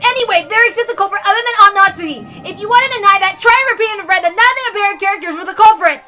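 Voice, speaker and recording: A woman's voice: very high-pitched, racing, sharp tone. A woman voicing a hot-headed, desperate young defender, insistent and exclamatory, in a dramatic visual novel read-aloud. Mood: defiant. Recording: music behind the voice.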